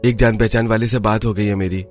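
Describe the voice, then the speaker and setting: Guy playing dutiful cartoon husband, low earnest voice, soft music behind. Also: steady tone, fairly quick, close to an everyday voice. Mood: resigned, earnest. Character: hard-working, dutiful adult son and husband.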